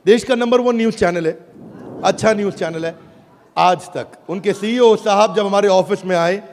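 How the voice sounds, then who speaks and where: A man with an Indian accent giving an emphatic motivational sales presentation on stage, calling out to his audience and repeating phrases. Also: high-pitched. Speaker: business speaker presenting a product launch. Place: large event hall with live audience. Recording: echoing hall, audience noise, loud background.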